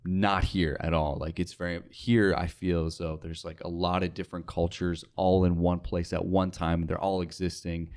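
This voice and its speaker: A young American man with a very low voice speaks in a casual interview. He is earnest and reflective, leaning on colloquial filler as he thinks things through. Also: level tone, normal speed, hardly any pauses, darkish tone, clean tone. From North Dakota, United States. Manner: informal, reflective, earnest, with frequent "like". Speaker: interview guest, radio sales associate.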